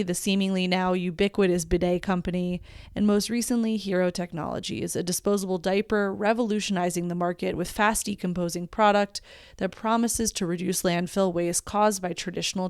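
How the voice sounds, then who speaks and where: A woman's voice: medium pitch, clear, resonant tone, no echo, studio quality. A woman hosting a podcast, reading an introduction and then interviewing her guest in a chatty, eager way. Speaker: podcast host.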